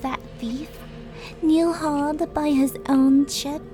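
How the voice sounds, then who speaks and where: High-pitched, taunting demon princess, acted by a woman. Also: steady tone, slow, dark tone. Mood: mocking, gloating. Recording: music under the voice.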